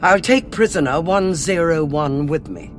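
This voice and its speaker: A woman's voice: sits low, lively intonation, unhurried, faint music behind. Imperious female commander. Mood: businesslike, resolute. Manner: commanding, clipped, haughty. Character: brisk, imperious female alien cardinal, military commander. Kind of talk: audio drama acting.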